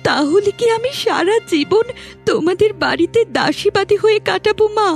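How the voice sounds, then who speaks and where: A woman voicing a gentle, mistreated young village stepdaughter in a cartoon, earnest and plaintive. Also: extremely high pitch, expressive, moderate pace, creaky, soft background music, full character voice. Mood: pleading. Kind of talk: cartoon voice acting.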